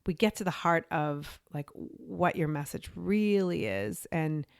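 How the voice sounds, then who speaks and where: A woman in her forties talks through her career as a podcast and media coach. She chats freely, restarting sentences and dropping in "you know" and "like". Her voice sits a bit low with a gravelly fry, recorded dry and close. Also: a little slow, no echo. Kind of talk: casual interview storytelling.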